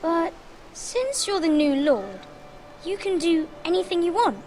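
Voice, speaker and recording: Very high, plaintive little boy. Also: very animated, measured pace, darkish tone, slight background noise, heavily acted, low-quality recording. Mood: eager. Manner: childlike, plaintive, blunt. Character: small, naive, anxious young noble boy. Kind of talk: dramatic fantasy game acting.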